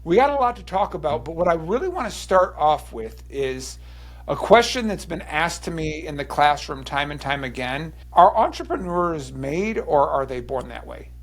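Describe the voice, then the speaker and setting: A man hosting an interview show, asking conversational, measured questions. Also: fairly high pitch, very animated. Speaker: podcast host, entrepreneurship teacher.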